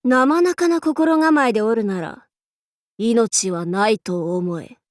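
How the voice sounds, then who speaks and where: A woman's voice: on the high side, slow pace, no room sound, studio quality. An actress playing a boastful young female demon-king warlord in an anime game, with archaic lordly speech that flips between haughty and goofy. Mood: stern, menacing. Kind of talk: Japanese anime game character voice lines.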